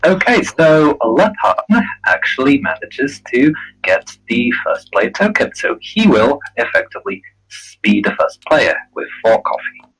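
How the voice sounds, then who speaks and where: A man setting up and explaining a board game, halting with many fillers. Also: medium pitch, sing-song, a bit bright, slightly rough, poor audio. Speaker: game referee.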